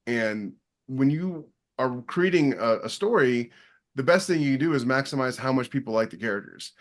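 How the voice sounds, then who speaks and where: Mid-pitched man, emphatic podcast talker. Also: lively intonation, moderate pace. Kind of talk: casual podcast discussion.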